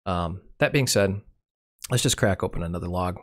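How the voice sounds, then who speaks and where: A man's voice: deep voice, moderate pace, fairly bright tone. A man with a cold and a fading voice, casually walking through a screen share off the cuff, correcting himself as he goes. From Michigan. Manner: unscripted, self-correcting, dry humor. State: has a cold, losing his voice. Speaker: channel host.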